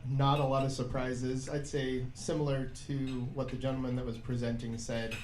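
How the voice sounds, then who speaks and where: Mellow, even-toned American man, halting. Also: medium pitch. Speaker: newly elected state senator, panelist. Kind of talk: informal panel answers. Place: indoor event room. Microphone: shared event microphone. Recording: echoey room, faint background noise.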